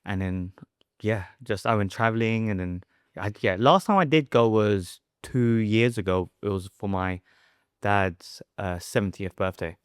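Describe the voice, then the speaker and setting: A man's voice: fairly low pitch, a little slow, smooth, no echo, clean studio sound. A young man, a food creator talking informally as a podcast guest, with a lot of "like" and "um". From West London, born in the Philippines. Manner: informal and rambling, many "like" and "um" fillers. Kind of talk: casual interview chat.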